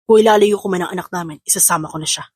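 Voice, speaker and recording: An intense, possessive man from a romance audio drama, acted out by a woman. The voice is husky and rather low, the delivery fast, recorded close and dry. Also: a little mellow, dry recording. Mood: earnest, lovestruck. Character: intense, possessive young man, threatening and lovestruck. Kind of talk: scripted audio drama acting in Tagalog.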